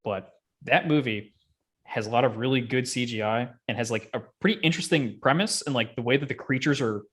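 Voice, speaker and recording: A young man with an average-pitched voice talks casually as a podcast co-host. He is blunt and opinionated, and he swears freely as he goes. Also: brisk, fairly bright tone, breathy edge. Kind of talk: casual chat.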